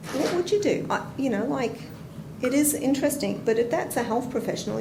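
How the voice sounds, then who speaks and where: A woman's voice: mid-range voice, gravelly. A woman with an Australian accent, a nurse educator giving a chatty, anecdotal conference talk and asking the audience questions. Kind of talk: conference presentation. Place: conference room. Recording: roomy hall with background noise.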